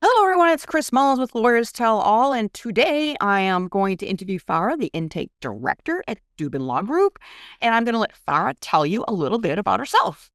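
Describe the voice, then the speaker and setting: A woman hosts a podcast interview and asks her questions eagerly. Her voice sits fairly high, swings widely in pitch and sounds smooth and clear. The sound is close and dry. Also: very animated, a little fast, no echo. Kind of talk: casual interview.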